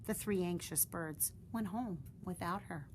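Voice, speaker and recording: A woman reading a children's picture book aloud with expressive, animated narration. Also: on the low side, a little slow, background noise. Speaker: storyteller.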